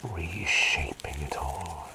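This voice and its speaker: A man playing a gentle, forgetful, whimsical plague doctor in a soft ASMR roleplay, speaking in a breathy whisper with polite, hesitant rambling. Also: low-pitched, slow pace, background noise. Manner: rambling, polite, hesitant, repeats phrases softly.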